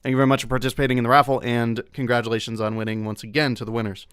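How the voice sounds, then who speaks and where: Smooth-voiced man hosting a stream. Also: medium pitch, racing, dry recording, studio quality. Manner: relaxed, chatty, with frequent fillers. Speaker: streamer hosting a mod playthrough. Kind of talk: casual live-stream chat.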